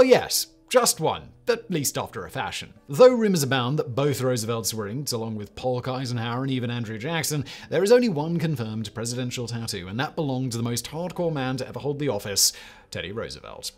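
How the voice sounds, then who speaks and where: Lively male narrator reading a countdown list. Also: medium pitch. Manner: lively, emphatic delivery with punchy rhetorical asides.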